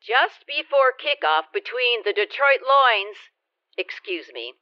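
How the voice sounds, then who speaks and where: A woman's voice: on the high side, animated, takes it slowly, dry recording. A woman playing an earnest, slightly awkward television sports reporter in an audio play. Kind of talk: broadcast reporter delivery in audio drama. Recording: dull, dry broadcast sound.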